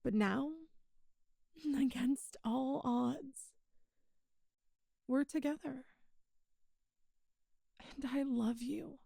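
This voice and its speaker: A woman voicing a tender, teasing demon goddess in love, in an intimate, halting ASMR audio roleplay with soft, breathy delivery. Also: sits high, dry recording, studio quality, exaggerated voice. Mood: earnest, loving. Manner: slow, halting, intimate, wistful.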